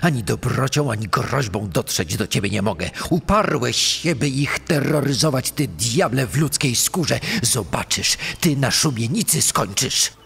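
Man acting gravelly, exasperated old-time village priest. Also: medium pitch, expressive, measured pace, few pauses, a bit bright, full character voice. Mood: bitter reproach. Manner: stern, reproachful. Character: exasperated village priest scolding a wicked boy. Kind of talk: true-crime audio dramatization. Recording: long echoing reverb over music.

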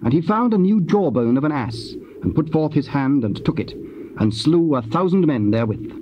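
A man giving a solemn, measured scripted narration of scripture. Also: a little high, animated. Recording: muffled, noisy old cassette transfer.

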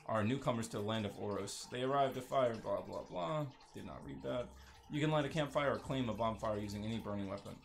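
A man with a fairly low-pitched voice commentates as he plays a video game. His delivery is casual and joking, wandering off into sarcastic asides like a gamer hosting his own let's-play. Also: fast, creaky. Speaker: gaming video host.

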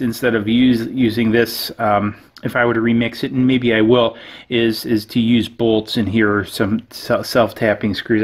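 A man giving a casual hands-on tutorial, thinking aloud in a halting way. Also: mid-range voice. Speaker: host of a maker show.

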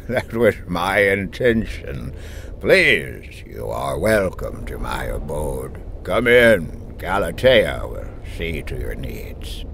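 An actor playing a mysterious, courtly old lord in an audio drama, measured and grandly polite. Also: male voice, a bass voice, big pitch swings, vocal fry, a bit bright, a little hiss or hum, full character voice. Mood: amused, welcoming.

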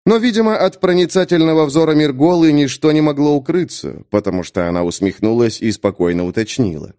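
Expressive male audiobook narrator, lively intonation. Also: a little high, a little fast. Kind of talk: expressive scripted fantasy narration.

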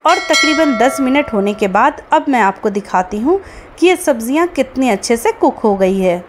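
A high-voiced woman presents a recipe as a cooking host. She explains each step plainly and speaks straight to her viewers. There is noticeable noise behind her voice-over. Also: expressive, moderate pace. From India. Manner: explanatory, addresses viewers as friends. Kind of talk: recipe narration, step-by-step instruction.

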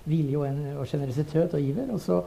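An older Norwegian man speaks in conversation on a theatre stage. His voice is gravelly and relaxed, and he feels his way haltingly through his sentences, searching for words. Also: on the high side, low-quality recording. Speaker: theatre director and co-writer. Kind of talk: unscripted onstage conversation.

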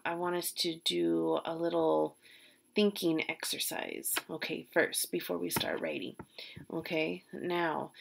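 Woman, middle-aged, elementary teacher; unhurried, soothing delivery, low and a bit gravelly, home webcam recording. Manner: slow, soothing, with frequent "okay?" check-ins. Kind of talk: guided visualization for young students. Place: small bedroom at home, indoors. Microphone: laptop webcam mic.

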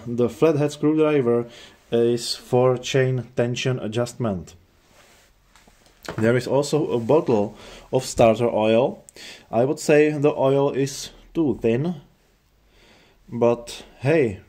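Male review narrator, mid-pitched, dark relaxed tone, matter-of-fact scripted delivery. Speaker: product reviewer.

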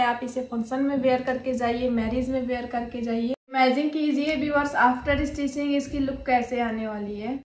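A woman's voice: sits high, reverberant, slight background noise, poor audio. A woman presenting suits for sale in a shop, talking steadily to viewers in promotional patter. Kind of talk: sales pitch showing suits.

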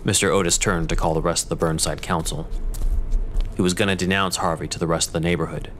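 A man's voice: low-pitched, steady tone, quick pace, fairly bright tone, slight background noise. A man narrating an audio drama in a scripted, dramatic style.

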